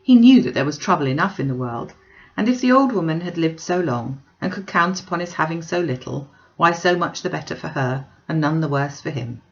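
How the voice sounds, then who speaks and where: A woman reading a classic novel aloud as expressive storybook narration. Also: sits low, a little fast, muffled recording. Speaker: audiobook reader.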